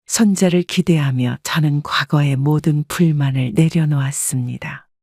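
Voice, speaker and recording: A woman narrating her own family story in the first person, calm and reflective. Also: low-pitched, moderate pace, creaky, no room sound, clean studio sound.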